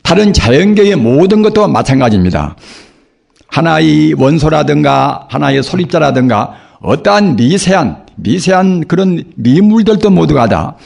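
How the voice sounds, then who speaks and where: An older man, a Buddhist monk, giving a sermon in a hoarse voice from a cold, preaching slowly and earnestly with rhetorical questions. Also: on the high side, very animated, a little mellow. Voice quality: gravelly, husky. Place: temple dharma hall.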